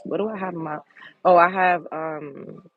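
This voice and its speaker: Woman host, deep and sultry, gravelly husky tone, candid and blunt. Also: steady tone, moderate pace, dry recording, highs cut off, faint music behind. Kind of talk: casual livestream chat reading comments.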